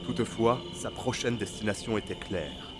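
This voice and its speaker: A man with a lowish voice narrates a fantasy audio drama. He reads the scripted story as its narrator, with loud music running underneath. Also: moderate pace.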